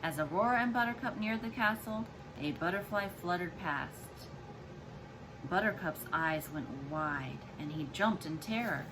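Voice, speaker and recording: A woman's voice: lowish voice, a little slow, noisy background. A woman, a mother, reading a children's storybook aloud expressively to her child. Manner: expressive, acts out dialogue and explains to child.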